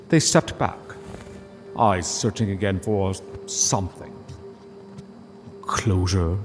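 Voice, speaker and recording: A male narrator tells a literary story in a solemn, deliberate way, pausing for drama. His pitch rises and falls expressively, and long reverb trails his words over background music.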